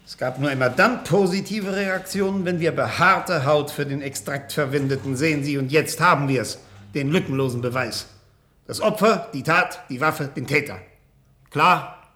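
An actor playing a gruff, sharp-minded lead researcher with a brusque, emphatic manner in a radio drama. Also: male voice, on the high side, moderate pace. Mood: triumphant, insistent. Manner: brusque, swearing, emphatic deduction. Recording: radio play with faint background noise.

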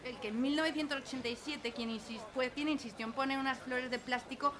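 Woman as brash market woman, blunt slang, raised pitch, comic radio serial, busy chatter behind. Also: steady tone, fast, natural voice. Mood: scolding.

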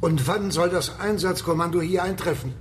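Actor as a high-pitched, gruff, defiant commander. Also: male voice, normal speed, faint music behind, natural voice. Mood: decisive. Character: gruff, defiant, commanding male leader of a spaceship venture. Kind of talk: German radio drama acting.

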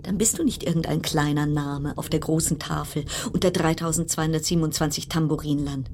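An actress playing a brooding narrator in an absurd, dystopian radio play, with deliberate, incantatory phrasing over a noisy background. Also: female voice, deep voice, fairly even intonation, a little mellow. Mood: urging, intense. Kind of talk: literary radio play monologue.

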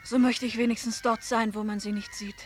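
A woman's voice: on the high side, little pitch movement, brisk, close to an everyday voice. An actress playing a restless, discontented wife of a fisherman in a German radio play, longing to get away. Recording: clearly noisy, farmyard-like bird ambience behind.

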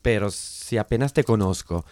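A man's voice: fairly low pitch, animated, moderate pace, darkish tone, no echo, close to an everyday voice. A man playing a naive sixteen-year-old boy sailor in an audio drama, with a plain, questioning way of speaking. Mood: wary. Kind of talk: dramatised audio story dialogue.

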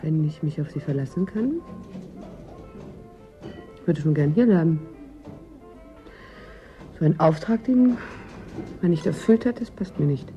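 A shrewd, self-assured woman with a fairly low voice. She speaks coolly and probingly, as in a radio drama. The recording is slightly muffled, with faint music behind. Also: lively intonation, unhurried, long pauses. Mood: firm. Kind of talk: German radio drama acting.

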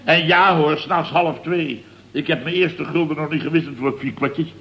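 An exasperated boss of a building firm in a radio comedy. His voice is rather high and very sharp, and he blusters indignantly, sputtering and doubling back on his words. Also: normal speed, gravelly, rough recording. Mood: outraged. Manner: blustering, sputtering, repeats himself. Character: flustered, indignant contractor boss, forever exasperated.

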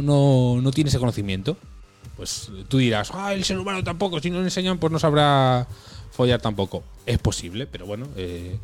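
A man's voice: mid-range voice, darkish tone. A man from co-hosting a casual comedy podcast, ranting with mock outrage and swearing. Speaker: podcast co-host.

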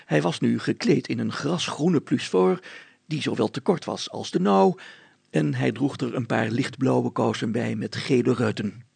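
A man's voice: mid-range voice, a little slow, vocal fry, darkish tone, breathy edge, dry recording. A man narrating a scripted story as a storyteller.

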